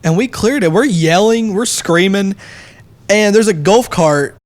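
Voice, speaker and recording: A man's voice: high-pitched, measured pace, a little hiss or hum. A young man telling a story casually as a podcast guest, emphatic and given to exaggeration. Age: young adult.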